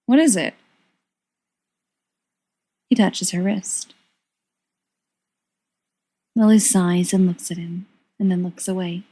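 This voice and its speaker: A woman reading fiction aloud as an audiobook narrator, in an expressive scripted style. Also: lowish voice, takes it slowly, long pauses, slightly rough. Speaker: audiobook reader.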